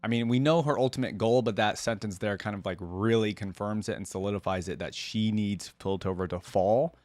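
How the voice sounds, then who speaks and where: A man's voice: fairly low pitch, fairly quick, darkish tone, dry recording. A man hosting a reaction video, commenting casually and often checking for agreement as he goes.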